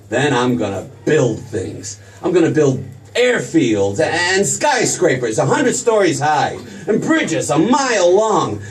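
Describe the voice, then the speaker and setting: An actor playing an eager young small-town dreamer in a radio drama, stammering and excitable, with a folksy manner. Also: male voice, a little high. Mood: excited, dreamy. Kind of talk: old-time radio drama stage acting. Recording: noisy stage recording from far off.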